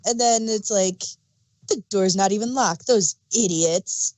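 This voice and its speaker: A woman's voice: mid-range voice, a little slow, darkish tone, dry recording. A woman reviewing in a casual podcast conversation, speaking in a blunt, matter-of-fact way. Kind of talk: casual review chat about an audio drama.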